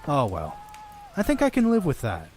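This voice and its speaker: A man playing a sardonic, sinister innkeeper who narrates grisly horror tales in an audio drama, with dramatic pauses. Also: a little high, wildly swooping pitch, darkish tone, slight background noise. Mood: sly, musing. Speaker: storytelling narrator in character. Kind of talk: theatrical horror anthology narration, shifting to wry asides.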